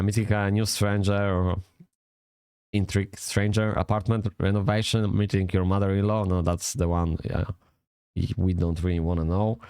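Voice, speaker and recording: A man's voice: sits low, darkish tone, clean tone, no echo. A man from Poland with a Polish accent, rambling casually through an app review, swearing often and correcting himself as he goes. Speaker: vlogger reviewing a language app.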